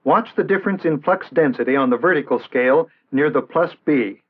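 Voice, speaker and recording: An American man reading scripted instructional narration in a measured, formal, didactic way, in the style of an early 1960s training film. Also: a little high, expressive. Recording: tinny band-limited vintage 1960s film soundtrack.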